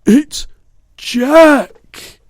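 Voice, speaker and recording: A man's voice: very high-pitched, very slow, no room sound, studio quality, voice pushed up, exaggerated voice. A man voicing a huge brutish man-eating giant in a storybook, screeching and pleading in terror with broken grammar. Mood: panicked screaming. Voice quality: screechy, bellowing.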